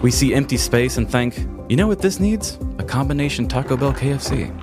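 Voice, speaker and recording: A man's voice: medium pitch, lively intonation, a little fast, music in the background. An American man from the Midwest hosting a podcast with mock-grandiose, sarcastic comedy delivery. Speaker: podcast host. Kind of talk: scripted comedic monologue and banter.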